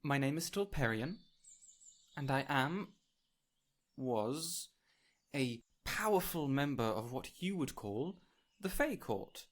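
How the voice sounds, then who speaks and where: Man playing a theatrical, whimsical male fey spirit. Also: on the high side. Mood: grand, delighted. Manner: gentle, theatrical, courtly, with lingering pauses. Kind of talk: audio roleplay addressed to the listener.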